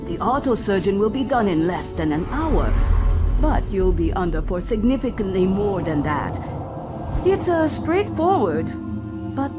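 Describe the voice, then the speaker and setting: Female ship AI: wry, precise, clinical, mid-pitched, set against music. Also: very animated, measured pace. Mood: wry, reassuring. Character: wry, composed female shipboard artificial intelligence. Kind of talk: science fiction audio drama acting.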